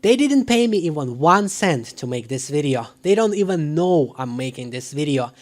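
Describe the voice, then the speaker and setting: A man presenting a scripted product review to camera in an emphatic, deliberate way. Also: fairly high pitch, sing-song. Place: living room at home. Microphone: dedicated microphone.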